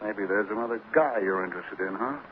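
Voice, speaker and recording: An actor playing a shady, needy and suspicious boyfriend in a 1940s radio drama, talking in slangy, insistent lines. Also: male voice, lowish voice, fairly quick, close to an everyday voice. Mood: bitter, accusing. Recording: noisy narrow-band old radio recording.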